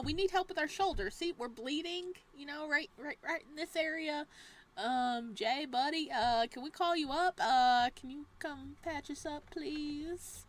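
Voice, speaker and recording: A young woman playing a visual novel. She reads the narration softly and breaks into loud, joking asides, casual and sometimes profane. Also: high voice. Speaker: game streamer. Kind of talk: reading a visual novel aloud with commentary.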